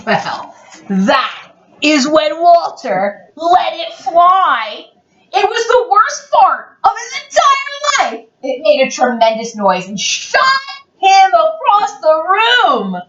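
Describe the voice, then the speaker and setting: Woman storyteller for a kids' read-aloud, slow and theatrical, very lively pitch swings. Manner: theatrical, expressive, playful. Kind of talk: animated children's picture book read-aloud. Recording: roomy, dull lo-fi, distant mic.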